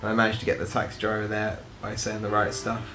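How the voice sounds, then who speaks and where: A man's voice: on the low side, fast talker. A young British man with a British accent, chatting casually and a bit rambling in a couple's Q&A video. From Britain. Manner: relaxed, rambling, many "like" fillers. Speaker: vlogger. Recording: light background music.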